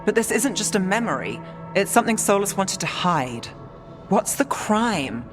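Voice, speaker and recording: A man playing a skeptical, questioning party leader in fantasy game dialogue, speaking deliberately. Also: sits high, big pitch swings. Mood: probing. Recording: long reverb, faint music.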